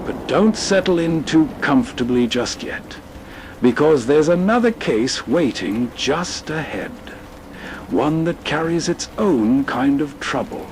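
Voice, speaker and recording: A man hosts in a scripted noir storytelling style, taking his time. His voice sits slightly high, with faint noise behind it. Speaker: host. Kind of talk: scripted atmospheric noir narration.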